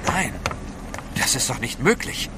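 An actor playing a composed, inquisitive gentleman adventurer, polite but direct, in a radio drama. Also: mid-range voice, sing-song, unhurried, creaky, background noise. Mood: surprised.

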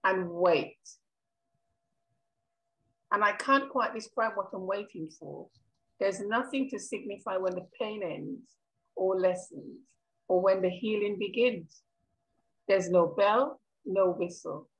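Gentle daughter with healing hands, middle-aged woman reader; slow, thoughtful stage monologue. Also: mid-range voice, poor audio. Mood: reflective. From Caribbean. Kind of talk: rehearsed play monologue reading. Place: kitchen at home, video call. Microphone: headset.

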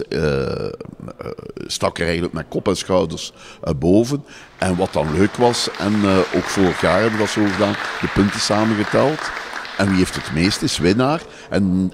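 An older man with a Flemish accent answers interview questions spontaneously, pausing often as he goes. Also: low-pitched, a crowd in the background, noisy background. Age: older, around 60. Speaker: competition jury chairman, interviewee. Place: indoors in a panelled room. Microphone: handheld reporter microphone.

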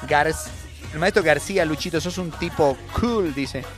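A man's voice: fairly high pitch, moderate pace, over music. A young man with a Bolivian accent, hosting a radio show with casual, joking, informal comic talk. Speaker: radio host and comedian. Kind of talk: casual comic radio monologue, reading listener messages.

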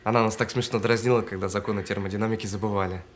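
An actor in an audio drama playing a guilt-ridden bomb technician on the phone, speaking haltingly and earnestly. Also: male voice, lowish voice, fairly even intonation, natural voice. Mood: relieved, weary. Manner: halting, earnest, apologetic, with stammered restarts. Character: guilt-ridden male bomb defuser saying goodbye by phone.

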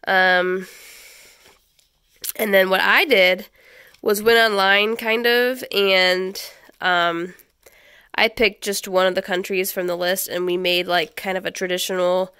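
A woman's voice: mid-range voice, fairly even intonation, slow pace, pauses often, sharp tone, clear, resonant tone, dry recording, clean studio sound. A woman in her thirties, stuffy from a head cold, hosting a homeschool channel and casually walking through a stack of children's books, with plenty of ums and restarts. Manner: chatty, many ums and restarts. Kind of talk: casual book review walkthrough. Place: small home schoolroom, indoors.

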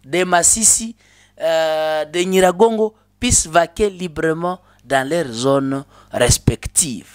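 A man's voice: a little high, slow pace, smooth. A man in his thirties speaking as a guest in a political studio interview, emphatic, with rhetorical questions and frequent hesitations. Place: small indoor studio. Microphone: desk mic on stand.

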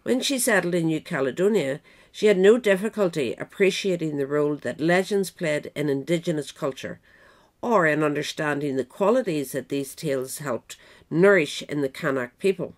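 A woman's voice: sits low, moderate pace. A woman narrator reading a scripted biographical essay aloud. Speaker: narrator, reader.

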